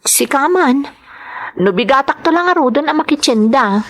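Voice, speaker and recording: A woman's voice: high-pitched, expressive, normal speed. A woman acting a worried, scolding wife in an audio drama, exasperated but caring, with an Ilocano accent. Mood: resigned, caring.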